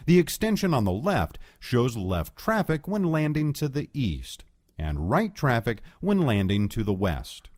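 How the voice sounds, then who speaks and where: A man reading scripted educational narration as an instructor, explaining in a clear, measured way. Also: medium pitch, darkish tone, dry recording, studio quality.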